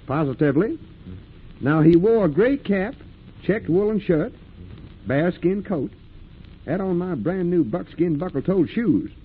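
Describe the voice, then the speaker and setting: An actor playing a haughty, irascible wealthy mill owner with pompous, clipped, demanding speech, in old radio drama style. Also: male voice, high-pitched, expressive, a little slow, long pauses. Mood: brusque. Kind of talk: 1940s radio acting. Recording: tinny, noisy old radio recording.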